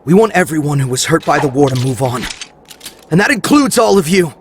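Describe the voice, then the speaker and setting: An actor playing an earnest, resolute young hero in a video game, speaking in solemn, heartfelt appeals. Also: male voice, on the high side, lively intonation, brisk, slight background noise. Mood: firm, resolute.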